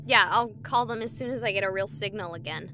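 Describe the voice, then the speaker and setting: A woman's voice: mid-range voice, a little fast, sharp tone, slight background noise, lightly acted. An actress voicing a young woman streamer, skeptical and sarcastic but rattled by a haunting. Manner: sarcastic, flustered. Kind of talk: visual novel voice acting.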